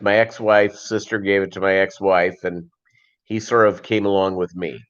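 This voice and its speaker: A man's voice: low voice, flat delivery, normal speed. Rambling man livestreamer, dull lo-fi sound. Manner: rambling, reads and answers viewer comments aloud. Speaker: livestream host of a fishkeeping channel. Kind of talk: casual live chat with viewers.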